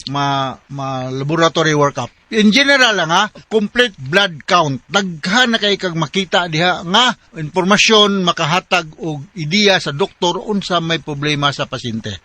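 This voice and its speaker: Male radio physician, Cebuano accent, high-pitched forceful voice, halting explanatory delivery, English medical terms mixed in. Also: lively intonation, no room sound. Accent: Cebuano Filipino. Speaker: doctor, psychiatrist answering listeners' health questions. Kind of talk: radio medical advice, conversational.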